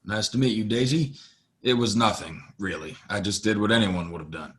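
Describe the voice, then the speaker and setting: A man's voice: lowish voice, fairly quick, a bit bright, lightly acted. A man playing a polite, modest cowboy stranger in a western audio play, courteous and understated.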